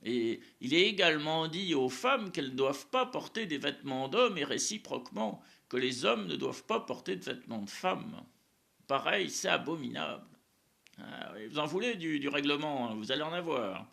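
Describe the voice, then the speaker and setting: A man whose first language is Spanish talks about faith in a loose, rambling monologue, doubling back on words and leaning on little fillers. His voice sits fairly high and swings widely in pitch. It has a gravelly, bright edge. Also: expressive, normal speed. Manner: rambling, repeats words, frequent "hein" and "euh". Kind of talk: casual religious monologue to camera.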